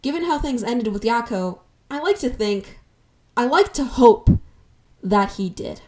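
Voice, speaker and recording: A woman's voice: on the high side, expressive, a little slow, a little mellow, slight background noise. A woman in her twenties narrating a personal video essay as channel host, earnest and sentimental with wry, self-deprecating asides. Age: 20s.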